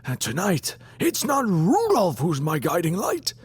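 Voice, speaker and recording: An actor playing a jolly, hearty old Santa-like pony, speaking slowly and theatrically in an audiobook drama. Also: mid-range voice, wildly swooping pitch, breathy edge, no room sound, full character voice. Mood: jolly, warm. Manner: slow, theatrical, rhyming, chuckling.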